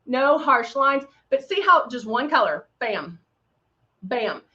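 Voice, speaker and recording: A middle-aged woman with a country Texan accent talks viewers through her makeup in a chatty, upbeat way, tossing in playful little sound effects. Her voice sits a bit high. The sound is dull and lo-fi, as on a livestream. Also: big pitch swings, slow pace, bright, forceful tone. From Texas. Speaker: makeup brand host.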